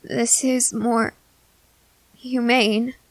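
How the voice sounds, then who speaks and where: A woman playing a timid, gentle newly turned vampire in an ASMR roleplay, speaking hesitantly and haltingly. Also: on the high side, flat delivery, dry recording, low-quality recording. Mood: hesitant, uneasy. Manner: hesitant, halting, soft-hearted.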